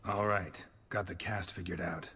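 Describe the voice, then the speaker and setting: An actor playing a gruff, laconic witcher in a video game, with a gravelly voice and terse, dry delivery. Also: sits low, fairly even intonation, normal speed, pushed and bright, big-room sound, exaggerated voice. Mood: matter-of-fact. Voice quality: gravelly, husky. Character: gruff, laconic male witcher with cat eyes. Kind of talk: video game dialogue acting.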